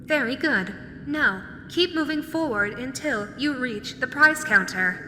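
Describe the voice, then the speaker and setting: A polite, officious robotic guide, voiced by a woman for an audio roleplay. The pitch is on the high side and the delivery is formal and instructive. It rings in a long reverb with clear noise behind it. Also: animated, measured pace, pushed and bright, full character voice. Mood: politely officious. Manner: formal, instructive, matter-of-fact. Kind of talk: scripted announcement in character audio roleplay.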